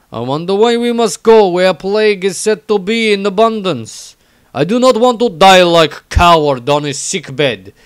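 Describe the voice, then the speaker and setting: A man voicing a proud, suspicious warrior, reading his diary bluntly in clipped grammar with a smooth, clear tone. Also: high-pitched, no echo, voice pushed up. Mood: wary, proud. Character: proud, blunt, suspicious northern male warrior from fantasy realm.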